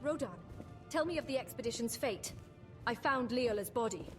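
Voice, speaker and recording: A woman's voice: on the high side, moderate pace, over music, lightly acted. A woman voicing a formal, devoted mage in a fantasy video game, with courteous, archaic phrasing. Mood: guarded, earnest. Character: formal, devoted female mage of a secretive college. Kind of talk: scripted fantasy game dialogue.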